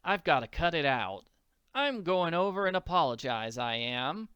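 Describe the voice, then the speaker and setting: A scrappy little comic-strip orphan as a narrator plays her. She muses earnestly in folksy slang, with a clear, lively delivery. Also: mid-range voice, normal speed, no echo, lo-fi sound. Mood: remorseful.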